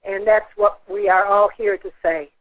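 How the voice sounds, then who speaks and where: Halting American woman on a conference call. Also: mid-range voice, fairly even intonation, low-quality recording. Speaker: community organization leader. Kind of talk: prepared speech on a conference call. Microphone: telephone. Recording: thin telephone conference line.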